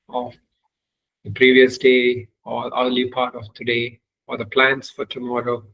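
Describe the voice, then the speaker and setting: Slow, even-toned man guiding meditation. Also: medium pitch, slight background noise, rough recording. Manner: measured, unhurried instruction with long pauses. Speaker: meditation teacher. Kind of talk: guided meditation.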